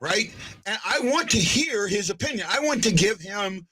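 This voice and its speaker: A man's voice: high voice, expressive, normal speed, over a noisy crowd, rough recording. A man hosting a sports podcast in casual chat, often hesitating, restarting sentences and checking in with "right?". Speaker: podcast host.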